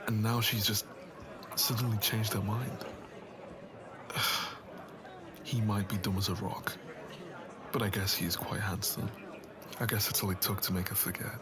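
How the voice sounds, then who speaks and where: A man's voice: lowish voice, little pitch movement, measured pace, pauses often, a crowd in the background, close to an everyday voice. A man playing a jealous young man stung by rejection, speaking earnestly and bitterly in a dramatic roleplay. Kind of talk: dramatic conversational roleplay voice acting.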